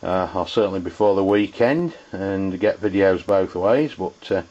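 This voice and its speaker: A man reviews a product off the cuff. His delivery is halting and informal, feeling its way from point to point. The sound is dull and lo-fi, like an amateur video. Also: low voice, mellow. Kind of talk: casual unscripted review.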